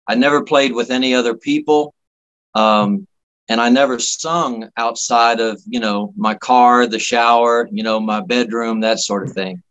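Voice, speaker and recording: A man from Miami, Florida, a guest musician telling casual anecdotes in a halting, folksy, jokey way. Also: mid-range voice, a bit bright, muffled recording. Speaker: guest musician, singer-songwriter.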